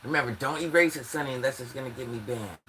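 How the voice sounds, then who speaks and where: Older woman with a deep, bright voice. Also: fast, a little hiss or hum, rough recording. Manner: chatty, talks straight to viewers. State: stuffy from allergies. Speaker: livestream host. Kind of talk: casual livestream chat.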